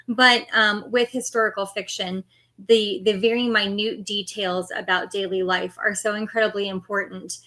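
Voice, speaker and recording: A woman's voice: mid-range voice, fairly even intonation, normal speed, sharp tone, low-quality recording. A middle-aged woman being interviewed as an author, answering candidly and laughing easily.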